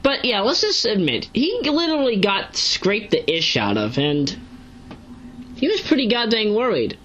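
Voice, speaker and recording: A woman giving casual, unscripted commentary as an online reviewer, rambling and halting as she corrects herself. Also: medium pitch, big pitch swings, fairly bright tone, dull audio. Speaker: online video reviewer and narrator. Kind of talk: casual unscripted commentary recapping a web series.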